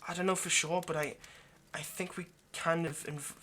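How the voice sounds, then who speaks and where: Man playing an anxious lovesick vampire, bright-voiced. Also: a little high, fairly even intonation, slight background noise. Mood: agitated, defensive, earnest. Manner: halting, stammering, pleading. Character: anxious, lovesick male vampire kidnapper, centuries old but youthful. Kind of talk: intimate ASMR audio roleplay.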